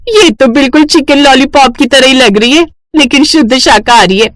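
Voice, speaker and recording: A woman's voice: high-pitched, moderate pace, dry recording, voice pushed up, close to an everyday voice. A woman voicing a vain, nagging young village wife in a story cartoon, whining and insistent. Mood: matter-of-fact. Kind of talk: animated Hindi moral-story cartoon voice acting.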